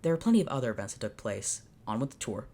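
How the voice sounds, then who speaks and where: A woman's voice: rumbling low, very animated, very fast, dark tone. A woman hosting her channel, reading fanfiction aloud unscripted and reacting as she goes, in a sardonic, dramatic way.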